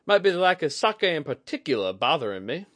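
A man's voice: a little high, very animated, fairly quick, fairly bright tone, pitched above the performer's own voice. A man voicing a determined, wry woman mystic in a fantasy audiobook, informal and a little weary. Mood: tired, impish.

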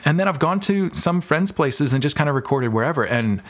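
A man's voice: on the high side, animated, fairly quick, fairly bright tone. Man explaining his craft in conversation. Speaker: podcast guest, audio drama maker. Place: small bedroom with carpet, curtains and bare walls.

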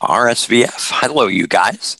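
A man hosting a call-in podcast, taking callers and quick to agree with them. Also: medium pitch, animated, creaky, sharp tone, no echo. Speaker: host.

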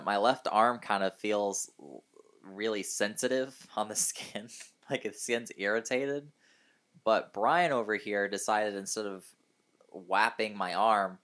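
Low-voiced hungover guy chatting casually. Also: a bit bright. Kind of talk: casual chat, storytelling.

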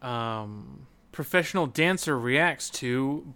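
Male co-host, bright lively delivery, slow pace, casual gaming banter, thinking aloud. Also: mid-range voice, vocal fry. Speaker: podcast co-host. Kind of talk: casual comedic chat about a video game.

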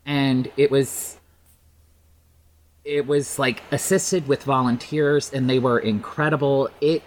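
A podcast guest chatting casually, enthusiastic and halting, often repeating words for emphasis. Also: medium pitch, fairly even intonation, a little hiss or hum, rough recording.

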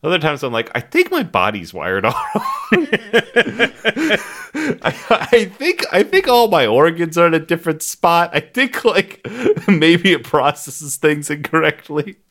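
A man with a booming, loud voice co-hosting a podcast in casual, jokey, profane and animated chat. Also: a little slow. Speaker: podcast co-host.

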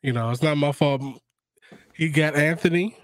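Raspy man in dry, casual chat. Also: on the high side, moderate pace, dry recording. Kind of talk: casual chat, joking storytelling.

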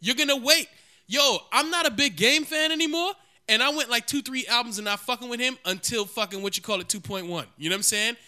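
A man's voice: very high voice, quick pace, sharp tone. A man co-hosting a podcast, teasing and trash-talking in casual chat. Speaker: podcast co-host.

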